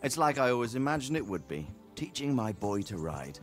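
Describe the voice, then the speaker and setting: Middle-aged actor as a kindly blacksmith dad, lively low voice. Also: male voice, a little fast, soft background music, natural voice. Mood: cheerful. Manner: gentle, reassuring, fatherly. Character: warm, steady medieval blacksmith father.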